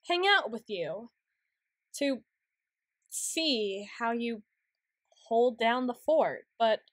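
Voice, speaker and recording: A woman playing a flustered, prim, studious young tsundere in an ASMR roleplay, speaking in halting, stammering, formal sentences. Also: a little high, fairly bright tone, dry recording, clean studio sound. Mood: guarded, prim. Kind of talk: ASMR roleplay, acted monologue.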